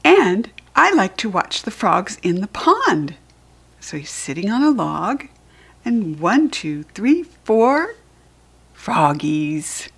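Slow, breathy American woman reading to children. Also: mid-range voice, big pitch swings. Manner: gentle, slow, child-directed explaining. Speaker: children's book reader. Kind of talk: read-aloud storytelling with asides to young children.

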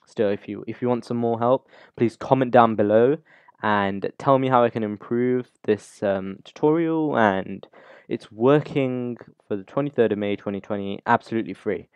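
A man hosting a casual, unscripted software tutorial, talking through the steps informally as he goes. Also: mid-range voice, normal speed, clear, resonant tone, no echo, lo-fi sound. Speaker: YouTube tutorial host.

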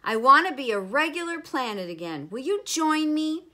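A woman's voice: high-pitched, big pitch swings, moderate pace, bright, forceful tone, pitched above the performer's own voice, heavily acted. A woman voicing an indignant little male dwarf planet in a children's storybook, with emphatic, exclamatory delivery. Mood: pleading.

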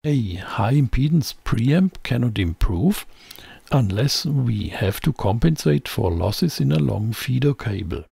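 Guy doing a scripted tech tutorial voiceover, measured, bit raspy, really dry close sound. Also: mid-range voice, lively intonation, dark tone, no room sound. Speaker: presenter of an electronics tutorial channel. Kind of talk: scripted technical narration.

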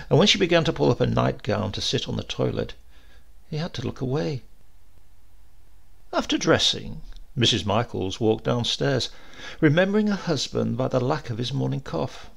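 A man reading a literary supernatural tale aloud in a measured, expressive storytelling manner. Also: on the low side, gravelly. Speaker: story reader.

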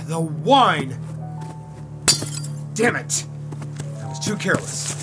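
Audio drama: suave CIA agent played by a man, unhurried, very varied intonation. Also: a little high, lively intonation, over loud music. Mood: dizzy, panicked. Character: suave, cocky male CIA agent romancing his mistress.

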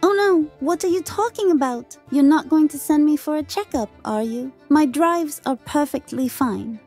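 A woman's voice: sits high, moderate pace, darkish tone, soft background music, close to an everyday voice. A woman voicing a falsely sweet, short-tempered chicken animatronic in a cartoon comic dub. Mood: sheepish, worried. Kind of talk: comic dub character acting.

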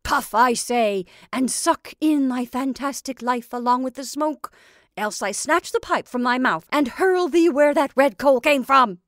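A woman's voice: high voice, expressive, unhurried, no echo, clean studio sound, voice pushed up. A woman voicing a domineering old witch in a storybook, scornful and coaxing, with emphatic, declamatory archaic speech. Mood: threatening.

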